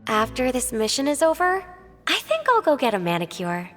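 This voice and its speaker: A woman's voice: high voice, huge pitch swings, normal speed. A woman voicing a bored, snide young agent who drawls dismissively, with long hall reverb over game music. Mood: idle.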